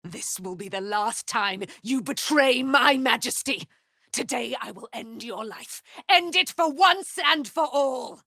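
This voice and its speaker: An actress playing a proud, wrathful immortal warrior, daughter of a moon goddess, declaiming in grand archaic speech for a fantasy game. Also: female voice, a little high, animated, a little slow, pushed and bright, no echo, clean studio sound, heavily acted. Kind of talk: theatrical fantasy game voice acting.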